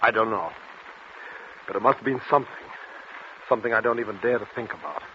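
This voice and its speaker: An actor playing a tormented male patient cursed to blurt out truths, anguished and halting in 1940s radio style. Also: lowish voice, steady tone, close to an everyday voice. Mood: uneasy, haunted. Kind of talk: 1940s radio drama acting. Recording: muffled, noisy 1940s radio recording.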